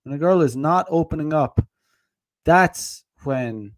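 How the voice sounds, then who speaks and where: A man's voice: on the high side, animated, slow pace, no room sound. Rambling man, dry close sound. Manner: rambling, heavy on fillers, laughs often. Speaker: dating advice streamer. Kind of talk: casual rambling livestream talk.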